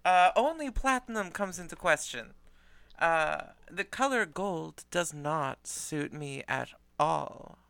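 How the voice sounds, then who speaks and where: A woman in a casual group chat who reads a profile aloud, laughs loudly and swears. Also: sits low, animated, takes it slowly, creaky, smooth, no echo. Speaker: podcast co-host reading aloud.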